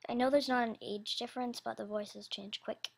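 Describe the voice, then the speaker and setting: A woman's voice: a little high, fairly even intonation, normal speed, vocal fry. A young woman with a youthful, somewhat childish voice, chatting casually without a script and rambling as she hesitantly searches for words. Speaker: video creator announcing animation voice auditions. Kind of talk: casual unscripted chat.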